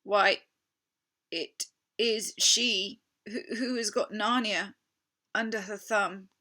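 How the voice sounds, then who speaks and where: A woman's voice: mid-range voice, steady tone, slow pace, bright, forceful tone, pitched above the performer's own voice. A woman voicing an anxious, guilt-ridden, tearful male fawn in a storybook, with a stammering, dramatic delivery. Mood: wretched confession.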